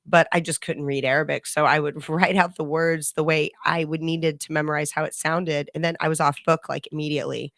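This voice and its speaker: A woman's voice: sits low, little pitch movement, a little fast, few pauses, fairly bright tone, no room sound. Chatty woman radio host, dry sound. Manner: chatty, laughs often, many restarts. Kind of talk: casual chat.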